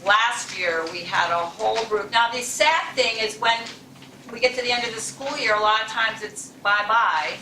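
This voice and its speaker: A woman's voice: fairly high pitch, normal speed, pushed and bright, recorded from a distance, a little hiss or hum. A woman, the club president, giving chatty, encouraging welcome remarks to guests in a chilly meeting room. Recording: echoey room, mic from afar.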